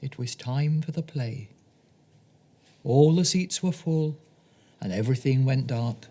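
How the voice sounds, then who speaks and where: Slow, deliberate woman storyteller. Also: sits low, warm tone. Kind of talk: scripted children's story narration.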